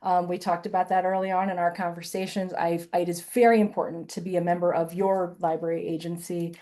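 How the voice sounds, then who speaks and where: Chatty fifty-something woman, mellow voice. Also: on the low side, brisk. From Southern United States and Illinois. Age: 50s. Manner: chatty, animated, tags points with "right?". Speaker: author and webinar presenter. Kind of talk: conversational webinar Q&A answer.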